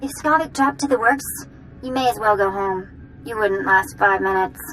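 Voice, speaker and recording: A woman's voice: mid-range voice, moderate pace, a bit bright, faint music behind, close to an everyday voice, low-quality recording. A woman acting as a tough, wary underworld local in a sci-fi video game, blunt and dramatic. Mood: scornful warning.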